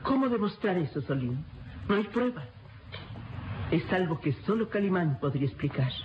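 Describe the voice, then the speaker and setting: Casting: actress as gentle young woman, consoling and measured, radio serial, dull recording with faint noise. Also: on the low side, lively intonation, natural voice. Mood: resigned. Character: gentle, concerned young woman, resigned companion. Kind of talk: radio melodrama acting.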